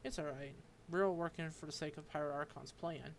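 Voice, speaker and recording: A man streaming a game, reading its dialogue aloud and commenting, halting and stumbling over unfamiliar names. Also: fairly high pitch, steady tone, a little hiss or hum.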